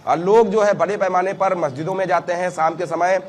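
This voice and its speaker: Male field reporter, North Indian accent, high pitch, halting live-report delivery, faint noise behind. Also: fairly even intonation.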